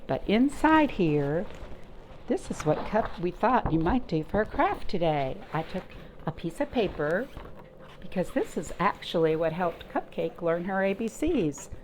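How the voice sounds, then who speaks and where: A woman's voice: on the low side, unhurried. Woman hosting children's storytime, noisy recording. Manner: sing-song, expressive, asking simple questions as to small children. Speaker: children's storytime reader and host. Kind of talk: read-aloud storytime with chatty asides to young children. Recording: noticeable background noise.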